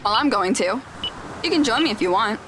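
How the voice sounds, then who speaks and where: A woman's voice: on the high side, animated, a little fast, background noise, lightly acted. A woman playing a self-assured, teasing teenage girl in an audio drama, wry and matter-of-fact.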